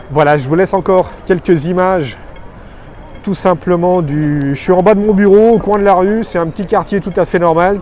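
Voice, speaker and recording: A man's voice: a little high, darkish tone. Earnest middle-aged man from Alsace. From Alsace, France. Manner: earnest, measured, citing figures. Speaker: expatriate vlogger. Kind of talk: informative street-side monologue. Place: outdoors on a city street below his office. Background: faint street and traffic noise.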